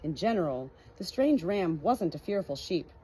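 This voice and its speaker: A woman with a slightly husky voice narrating a storybook from a script. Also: on the low side, sing-song, normal speed, warm tone, rough recording. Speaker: audiobook reader.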